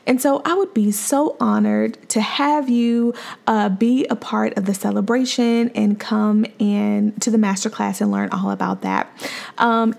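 A woman hosting her own podcast as a mom therapist and coach, talking in a soft, calm, friendly way. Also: a little high, gravelly. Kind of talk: solo podcast monologue.

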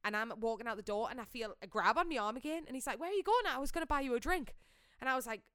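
A young woman telling a story in casual podcast chat, animated, blunt and salty-tongued. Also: on the high side, racing, smooth, dry recording, studio quality. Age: young adult. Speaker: podcast co-host.

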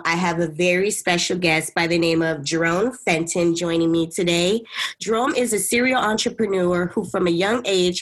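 Woman, podcast host, husky, lowish voice, upbeat interviewer. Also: fairly bright tone.

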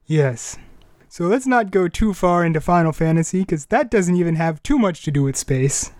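A man's voice: high voice, animated, moderate pace, a little mellow, no echo, studio quality. A man hosting a podcast, chatting casually about pop culture. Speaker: podcast host. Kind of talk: casual chat about anime music and games.

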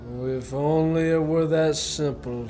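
A man acting in a student radio play as a courteous, menacing gentleman professor who is secretly a spy chief. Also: fairly high pitch, steady tone, a little slow, slight background noise, lightly acted. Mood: smoothly menacing. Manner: courteous, genteel, theatrically menacing.

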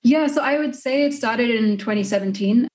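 A woman's voice: on the high side, normal speed, a little mellow. A woman in her early twenties with a South African accent, chatting casually as an interview guest. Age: early 20s. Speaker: podcast guest.

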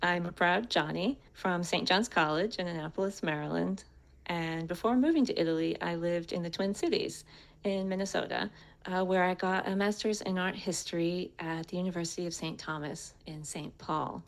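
Woman, American accent, lower-set voice with noticeable fry, conversational personal storytelling. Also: moderate pace. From United States. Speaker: co-host and guest speaker telling her story.

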